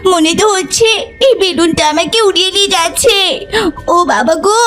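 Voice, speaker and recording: A woman's voice: extremely high pitch, brisk, a bit bright, faint music behind. A woman voicing a naive, playful little tiger cub girl in a cartoon, with a childlike, pleading manner. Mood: frightened. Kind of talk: cartoon voice acting.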